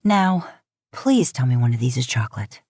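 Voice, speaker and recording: Woman reading as a low-voiced, wry middle-aged female sheriff. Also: sing-song, moderate pace, mellow, no echo, clean studio sound, exaggerated voice. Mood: grateful, wry. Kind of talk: audiobook character dialogue.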